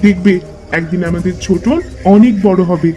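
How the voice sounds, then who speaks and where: A man's voice: sits high, normal speed, mellow, muffled recording, soft background music, lightly acted. A man voicing a protective, scolding village mother who defends her child in a cartoon. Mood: defensive. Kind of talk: Bengali cartoon dialogue.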